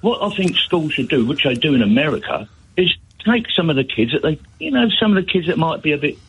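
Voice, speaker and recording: An older man in his seventies calls in over the phone, his voice rough and husky. He talks bluntly, his pitch rising and falling a lot, and he circles back over his words as he pushes his view. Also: quick pace, poor audio. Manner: blunt, animated, repeats himself. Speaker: caller. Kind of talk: phone-in radio call. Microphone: telephone.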